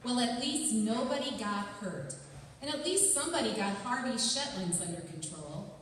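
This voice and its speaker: A woman plays a consoling, inquisitive friend in a radio play. The voice is fairly high and very animated, heard at a distance in a big echoing room. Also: moderate pace, natural voice. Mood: consoling, curious. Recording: echoey hall, distant mic, faint noise.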